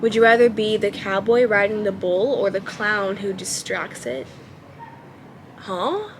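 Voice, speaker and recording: A young woman with a light, childlike voice, pitched at an ordinary middle height, talks in a relaxed way at home. She hesitates and fills her pauses with ums as she thinks aloud. A faint hum sits under her voice. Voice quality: childlike, baby-ish voice. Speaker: vlogger. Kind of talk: casual talk to camera.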